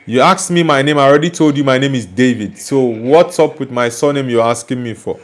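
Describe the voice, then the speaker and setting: A man's voice: mid-range voice, a little fast. A young man from Nigeria hosting a live stream, casually reading viewer comments aloud and answering them bluntly. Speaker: livestream host reading chat.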